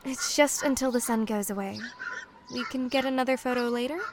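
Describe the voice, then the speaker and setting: An actress playing a young, affectionate mermaid passing as human in an audio drama, with a soft, coy way of talking. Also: female voice, on the high side, steady tone, a little fast, natural voice. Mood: playful, evasive. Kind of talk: naturalistic audio drama dialogue. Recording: clear background noise.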